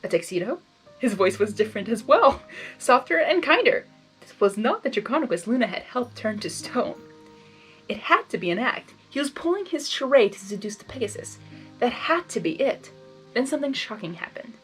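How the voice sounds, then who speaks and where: A woman reading fan fiction aloud in expressive storybook narration, with informal asides. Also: fairly high pitch, normal speed, faint music behind, low-quality recording.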